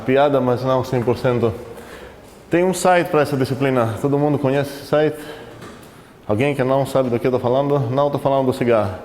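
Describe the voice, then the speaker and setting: Lecturer with a foreign accent. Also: male voice, mid-range voice, darkish tone. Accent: foreign accent in Portuguese. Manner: conversational, asks the class questions, self-correcting. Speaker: university math lecturer. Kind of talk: classroom lecture. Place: lecture hall. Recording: reverberant lecture hall with faint noise.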